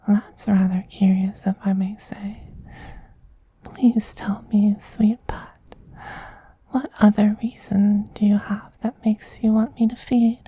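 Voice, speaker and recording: A man playing a soothing, possessive femboy vampire queen in a soft, breathy whispered ASMR roleplay, slow and tender. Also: high voice, level tone, exaggerated voice, rough recording. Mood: tender, gently teasing. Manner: slow, tender, coaxing endearments.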